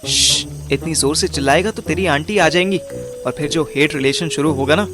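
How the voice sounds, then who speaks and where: A man's voice: on the high side, brisk, music in the background, natural voice. A man narrating and playing a scheming, overweight amateur detective in an audio drama, with a wry, colloquial manner. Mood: sardonic. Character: overweight, scheming male amateur detective telling his story. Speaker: narrator and lead character.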